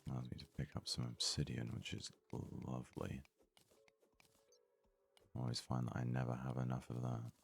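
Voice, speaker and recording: A man giving soft-spoken ASMR game commentary in an unhurried, hesitant way, as if thinking aloud. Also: rumbling low, completely flat delivery, gravelly, slightly rough. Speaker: gaming video host.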